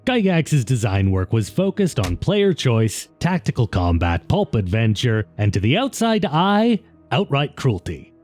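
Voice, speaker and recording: A man's voice: mid-range voice, wildly swooping pitch, unhurried, a little mellow, faint music behind. A man reading scripted documentary narration as the narrator of a video essay.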